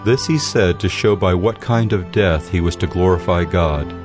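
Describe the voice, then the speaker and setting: A man narrating scripture in a steady, solemn scripted reading. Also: low-pitched, normal speed. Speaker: Bible narrator. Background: music.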